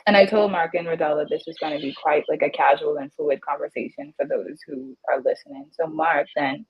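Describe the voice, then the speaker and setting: A woman with a low, breathy voice leads a relaxed video-call panel and asks questions in a halting way with many fillers. Her sound is a bit muffled and dull. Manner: hesitant, filler-heavy phrasing. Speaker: moderator. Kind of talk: casual panel conversation over a video call.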